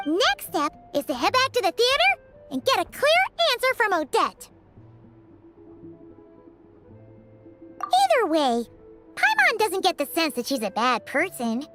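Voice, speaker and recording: A tiny fairy sidekick from a video game, with a very high, squeaky childlike voice. She is chatty, earnest and kindhearted, swinging about in pitch. Loud music plays behind her. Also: wildly swooping pitch, normal speed, full character voice. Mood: upbeat, earnest. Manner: speaks of herself in the third person, earnest.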